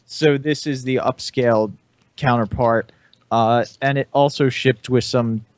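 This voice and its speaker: A man's voice: medium pitch, steady tone, unhurried, dry recording. A man chatting casually as a panelist on a hobby podcast.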